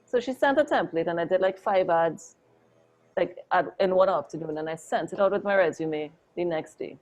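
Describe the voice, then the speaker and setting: A woman, a photographer and interview guest, telling her story in a chatty, animated way with lots of "like" and "oh my God". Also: lowish voice, moderate pace, rough recording.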